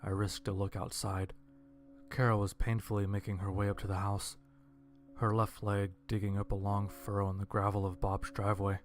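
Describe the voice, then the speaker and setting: A man's voice: fairly low pitch, level tone, normal speed, faint music behind. A man narrating a scripted first-person horror-comedy story in a dry, wry manner.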